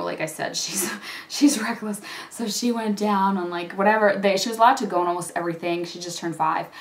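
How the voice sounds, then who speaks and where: A woman in her thirties, slightly hoarse from a cold, chatting casually and rambling with lots of fillers while doing her makeup at home. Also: medium pitch. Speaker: beauty vlogger. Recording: roomy echo, mic at a distance.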